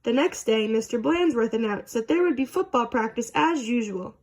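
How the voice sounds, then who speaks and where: Woman, high voice, storybook narration, children's read-aloud. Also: animated, moderate pace, a little mellow.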